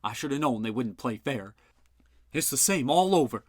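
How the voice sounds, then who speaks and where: A man voicing a gruff, blustering older male inventor who talks loud and boastful. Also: mid-range voice, expressive, normal speed, dry recording, studio quality.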